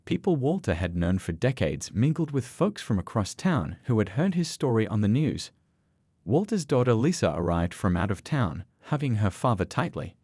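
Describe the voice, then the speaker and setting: A man reading a scripted, sentimental story in a measured, earnest storytelling manner. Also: fairly low pitch, big pitch swings, a little mellow, breathy edge, dry recording. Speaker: story narrator.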